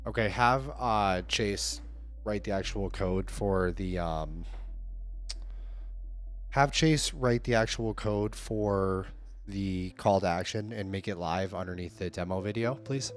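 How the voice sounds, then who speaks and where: A man with a hoarse, fading voice chatting casually on a livestream, upbeat and talking straight to his viewers. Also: sits low, slow pace, soft background music. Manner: upbeat, hype-driven, addresses viewers directly. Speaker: livestreaming app developer.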